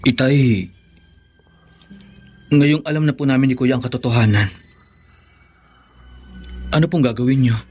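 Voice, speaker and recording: Young adult son, husky, Filipino accent, respectful, tentative, earnest, radio drama, faint music. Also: male voice, medium pitch, unhurried, pauses often, a little mellow. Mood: earnest, anxious. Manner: polite, tentative questioning.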